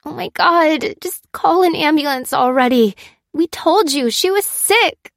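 A woman's voice: sits high, animated, a little slow, no room sound, clean studio sound, voice pushed up, heavily acted. A woman voicing a distraught, fiery teenage girl who cries out in a strained voice, in audiobook style. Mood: outraged panic. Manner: crying out, strained and croaky. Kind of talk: audiobook character acting.

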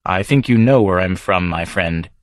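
A man reading the devil as a calm, amused stranger who speaks softly with quiet menace. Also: low-pitched, normal speed, no echo, clean studio sound, pitched below the performer's own voice, lightly acted. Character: smooth suave male devil, amused and knowing.

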